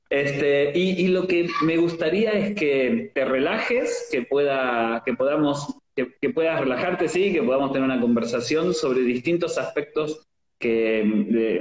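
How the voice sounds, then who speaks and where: A man with an Argentine accent hosting a casual interview over a video call, playful and joking, filling pauses with "este". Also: mid-range voice, echoey room, a little hiss or hum, rough recording. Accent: Argentine Spanish. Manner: playful, joking, many fillers. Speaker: host.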